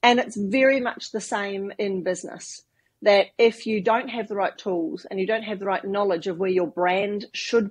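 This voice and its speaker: A woman's voice: mid-range voice, husky. A woman in her fifties from New Zealand, with a New Zealand accent, chatting as a podcast guest and explaining things with long winding examples. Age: 50s. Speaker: podcast guest, branding expert. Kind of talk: casual interview talk.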